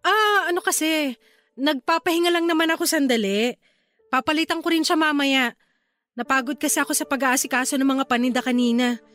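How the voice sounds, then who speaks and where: High-voiced, defensive young woman. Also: brisk, fairly bright tone, soft background music. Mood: flustered, placating. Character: evasive, defensive young woman grocery manager, secretly cheating girlfriend. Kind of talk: Tagalog radio drama acting.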